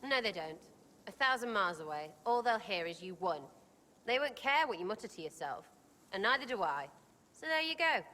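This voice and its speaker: A woman's voice: mid-range voice, sing-song, a little fast, sharp tone, big-room sound. A woman playing a brash, scornful young elf in a video game, with a British accent and blunt, mocking, slangy delivery. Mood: exasperated. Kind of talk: video game character dialogue.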